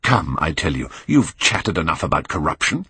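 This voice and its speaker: A man voicing a proud young Victorian gentleman in an audiobook, hard, contemptuous and bitterly mocking. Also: rumbling low, big pitch swings, fairly quick, breathy edge, no echo, heavily acted. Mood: defiant mockery.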